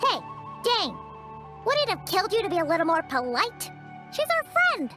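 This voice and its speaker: Tiny fairy sidekick girl, very high squeaky pitch, swooping animated intonation, bubbly, cheeky, video game, music behind. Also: moderate pace. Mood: indignant, pestering. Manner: bubbly, chatty, exaggerated.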